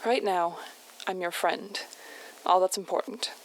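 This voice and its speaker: A woman playing a guarded mission contact in an audio drama, speaking in a measured, formal, reserved way. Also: on the low side, steady tone, a little hiss or hum, close to an everyday voice, rough recording. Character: calm, guarded female mission contact debriefing a field scientist.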